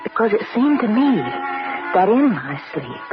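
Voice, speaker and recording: A woman's voice: medium pitch, big pitch swings, unhurried. An actress playing a troubled, inquisitive young woman in an old-time radio drama, earnest and dramatic in her delivery. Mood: uneasy, reflective. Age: young adult. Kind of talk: old-time radio drama acting, dialogue and first-person narration. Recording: dull old radio recording with loud music.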